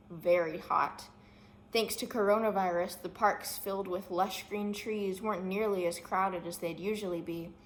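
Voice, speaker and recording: A woman's voice: mid-range voice, fairly bright tone, low-quality recording. A woman reading a children's story aloud as scripted narration. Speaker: story reader. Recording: faint background noise.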